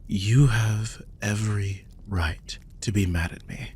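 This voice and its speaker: A man playing a protective, guilt-ridden magical guardian in an intimate roleplay audio drama, speaking softly and hesitantly in a husky, breathy voice that often drops toward a whisper. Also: on the low side, steady tone, slow pace, slight background noise. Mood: guilty, hesitant. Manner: soft, hesitant, soothing, with frequent sighs.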